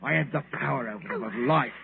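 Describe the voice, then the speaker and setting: An actor playing a lonely, troubled, meek man in an old-time radio drama, agitated and pleading in a halting way. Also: medium pitch, gravelly, a crowd in the background. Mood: feverish, menacing. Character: lonely, troubled, meek man who believes himself a murderer. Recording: tinny, noisy old radio recording.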